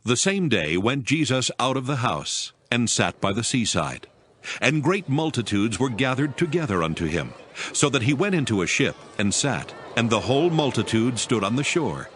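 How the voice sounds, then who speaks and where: A man narrating a dramatized scripture reading in a measured, formal manner. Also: medium pitch, sing-song, fairly bright tone, slight background noise.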